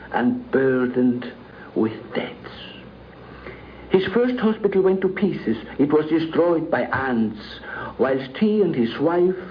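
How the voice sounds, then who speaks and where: A man speaking formally and deliberately as a documentary contributor, on a muffled, noisy 1950s radio recording. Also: on the high side. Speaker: interviewed friend giving recollections. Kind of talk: measured spoken reminiscence and letter reading.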